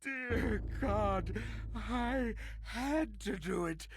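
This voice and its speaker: An actor playing a paranoid, deranged killer in a video game, confessing in a theatrical, unhinged monologue that moves between hushed whispers and wails. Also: male voice, sits high, very slow, slight background noise, full character voice. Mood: desperate, pleading. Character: paranoid, deranged murderous man confessing his killings.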